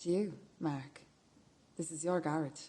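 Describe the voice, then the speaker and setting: An actress playing a hard, controlling sister bent on revenge, pointed and insistent in a radio play. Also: female voice, deep voice, fairly even intonation, unhurried, warm tone. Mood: accusing.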